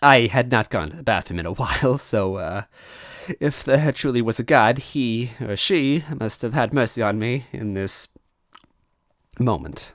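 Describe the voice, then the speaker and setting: A man reading a first-person story aloud as an animated narrator. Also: mid-range voice, unhurried, no echo, clean studio sound. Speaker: story reader.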